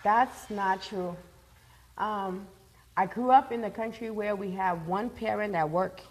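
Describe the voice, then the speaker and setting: A woman's voice: mid-range voice, unhurried, a little mellow, low-quality recording. A woman from Liberia, a guest in a casual chat, talking earnestly and repeating points for emphasis.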